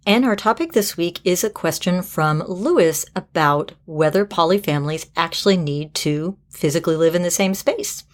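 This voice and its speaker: A woman's voice: fairly low pitch, normal speed, few pauses. A woman podcast host talking conversationally in a casual co-hosting style.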